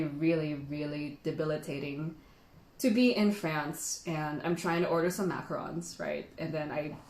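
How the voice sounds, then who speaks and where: A woman's voice: on the low side, roomy sound. A woman who grew up in the Philippines hosts a casual livestream while tasting tea, chatting freely, laughing often and reading out viewer comments.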